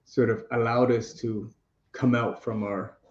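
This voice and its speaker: Male co-host, mid pitch, chatty and rambling, muffled roomy audio. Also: level tone, measured pace, a little mellow. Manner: chatty, rambling, many fillers and restarts. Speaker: co-host of a live chat on multilingual kids. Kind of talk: casual live chat.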